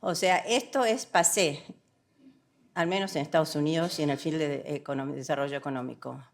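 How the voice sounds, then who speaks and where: A woman from Argentina giving a semi-improvised conference talk, frank and emphatic, often correcting herself and mixing English words into her Spanish. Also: on the low side. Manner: halting, self-correcting, checks in with rhetorical tag questions. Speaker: conference speaker, development economist.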